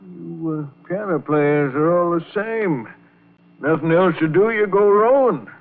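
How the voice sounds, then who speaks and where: A man's voice: a little high, slow, creaky. An actor playing an older, folksy, fatherly and wary kitchen hand in an old-time radio drama. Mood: probing, teasing. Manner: folksy, hesitant, colloquial. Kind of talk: 1940s radio drama acting. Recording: tinny old radio recording.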